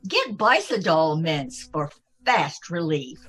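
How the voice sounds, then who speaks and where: Slow, husky woman radio announcer. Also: low voice, very animated, a bit bright. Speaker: commercial announcer. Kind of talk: scripted old-time radio advertisement. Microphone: video call.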